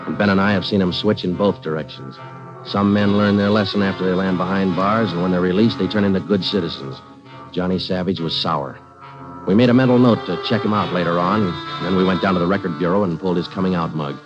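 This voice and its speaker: An actor playing a police detective sergeant who narrates in a clipped, flat, matter-of-fact way, in 1940s radio drama. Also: male voice, low-pitched, fast, natural voice. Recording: dull old radio recording with music.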